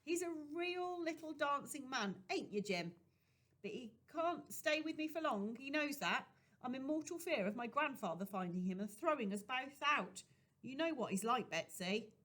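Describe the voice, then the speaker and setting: A woman voicing a kind, gentle young street woman from a poor quarter. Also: high-pitched, lively intonation, moderate pace, voice pushed up.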